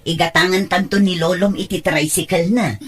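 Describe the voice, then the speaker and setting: A woman with an Ilocano accent playing an encouraging, devoted mother in a radio drama, with a husky voice. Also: on the low side, fairly even intonation, normal speed, natural voice.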